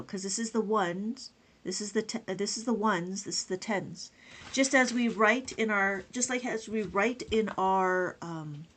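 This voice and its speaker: A woman's voice: medium pitch, a bit bright. A woman teaching abacus math on a live stream, chatting with viewers, greeting them by name and counting numbers aloud.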